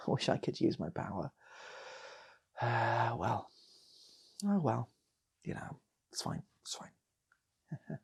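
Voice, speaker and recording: Meek male sidekick put on by a storyteller, wistful and resigned, breathy, creaky, trailing-off comic delivery. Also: medium pitch, very animated, slow pace, long pauses, heavily acted.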